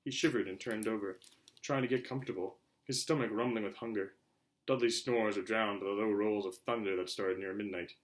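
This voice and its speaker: A man reading a storybook aloud as a narrator, in an expressive, theatrical style. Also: on the low side, fairly quick. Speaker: audiobook reader.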